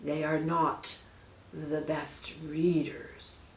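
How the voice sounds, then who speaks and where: Woman voicing a slow, hissing, sinister male snake. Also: low voice, flat delivery, roomy sound, distant mic, heavily acted. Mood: falsely caring. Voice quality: hushed, breathy hiss. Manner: slow, drawn-out, sinister delivery. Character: sly, menacing male snake, falsely caring.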